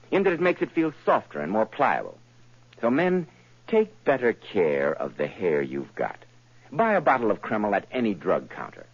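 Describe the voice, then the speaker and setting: Old radio announcer guy, lowish voice, emphatic sales pitch, bit husky, muffled recording. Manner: polished, emphatic sales delivery. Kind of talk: 1940s radio advertising read.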